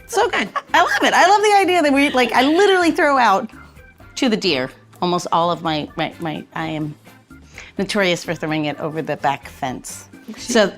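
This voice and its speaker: A woman's voice: medium pitch, animated, moderate pace, soft background music. A woman co-hosting a podcast in casual chat, enthusiastic and playful. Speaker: podcast co-host.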